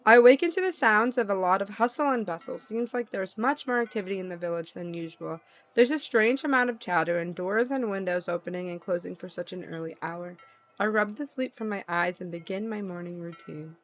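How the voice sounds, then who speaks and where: A woman's voice: medium pitch, fairly quick. A woman livestreamer reading visual novel narration aloud and reacting in a playful, slangy way. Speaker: livestream gamer.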